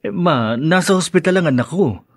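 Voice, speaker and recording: A dutiful young Filipino husband in a radio drama. His voice sits a little high and is recorded dry, and he speaks hesitantly, always trying to placate. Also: animated, moderate pace, no echo. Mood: polite, hesitant.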